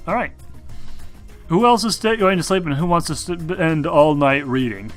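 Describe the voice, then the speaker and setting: A man acting as game master, narrating a tabletop role-playing game in an informal, halting way. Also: a little high. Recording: music behind the voice.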